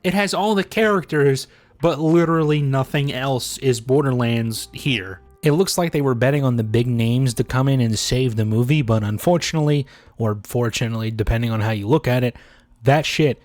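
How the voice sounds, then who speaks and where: A man's voice: medium pitch, normal speed, darkish tone, no room sound. Blunt man giving dry, close-miked commentary. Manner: blunt, sarcastic, heavily profane. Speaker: video essay host. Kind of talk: casual opinionated commentary.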